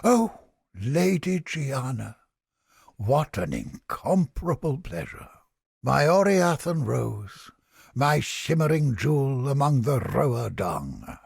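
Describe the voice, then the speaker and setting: A man playing a haughty, creepy mind-reading interrogator in a video game, with a pompous, florid, theatrical delivery and a raspy, gravelly voice. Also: mid-range voice, sing-song, slow, a little mellow, dry recording, clean studio sound, heavily acted. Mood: oily flattery. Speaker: game character. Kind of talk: video game character voice lines.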